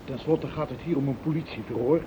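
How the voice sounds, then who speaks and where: A firm, formal policeman played by an actor speaks in a radio play, a little impatient. He has a mid-pitched voice and a Netherlands Dutch accent. The old recording is dull and clearly noisy. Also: brisk, close to an everyday voice. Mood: firm, official. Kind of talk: radio drama acting.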